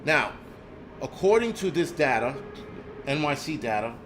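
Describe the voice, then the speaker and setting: A man's voice: on the high side, very animated, unhurried, background noise. A man, hosting a casual live stream in a blunt, profane, rambling rant. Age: 40s. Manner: rambling with frequent restarts. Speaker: host.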